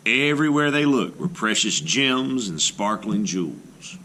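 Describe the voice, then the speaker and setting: A man, a teacher, reading a folktale aloud for children with expressive narration. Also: fairly low pitch, a little slow, slight background noise, low-quality recording. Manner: expressive, rising and falling with the tale. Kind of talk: storybook read-aloud narration.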